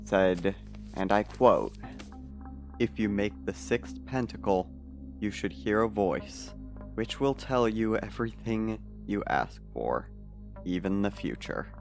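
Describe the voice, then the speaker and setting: A troubled, pessimistic man played by a male actor delivers an audio fiction confession. His voice sits low and moves very slowly, halting and hesitating, with soft music behind it. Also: lively intonation, vocal fry, full character voice. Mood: hesitant, uneasy.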